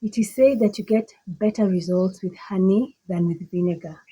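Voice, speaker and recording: A woman with a Kenyan accent and a slightly husky voice, giving an emphatic, deliberate motivational monologue that repeats phrases for effect. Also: medium pitch, mellow, poor audio. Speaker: motivational speaker.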